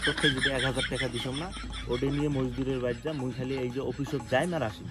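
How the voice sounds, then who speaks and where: A man's voice: mid-range voice, flat delivery, quick pace, loud music behind the voice, lightly acted. A man playing a boastful, self-important village politician in a comedy skit, bossy and indignant, with a rural North Bengal accent.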